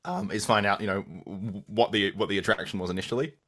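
A young adult man with an Australian accent, answering interview questions as an audio content creator in a measured, thoughtful way with frequent restarts. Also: fairly low pitch, fairly even intonation, fairly bright tone.

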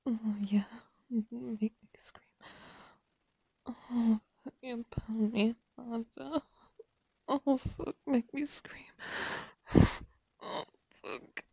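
A woman's voice: on the high side, very slow, creaky, long pauses, mellow, husky, dry recording. A woman in an intimate erotic audio roleplay, breathless and aroused, whispering between moans.

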